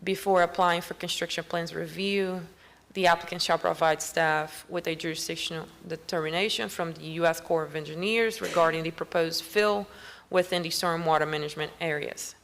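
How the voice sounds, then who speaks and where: Low-voiced woman reading a staff report. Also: steady tone, normal speed, a bit bright. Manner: formal, reads steadily with small restarts and clears her throat. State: losing her voice. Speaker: county planning staff member.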